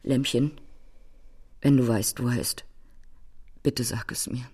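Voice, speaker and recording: An actress playing a bitter, domineering older mother-in-law in a radio drama, sneering and theatrical, with a husky voice. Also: a bass voice, steady tone, takes it slowly, warm tone, full character voice. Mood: cold scorn. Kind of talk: German radio drama acting.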